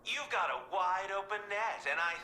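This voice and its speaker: An actor playing a manic, theatrical clown villain in a video game, mocking and flirtatious. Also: male voice, expressive, normal speed, pushed and bright, roomy sound, a little hiss or hum, heavily acted. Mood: mock-polite, flirty. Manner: theatrical, taunting, swooping between shrill and low. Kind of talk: video game cutscene acting.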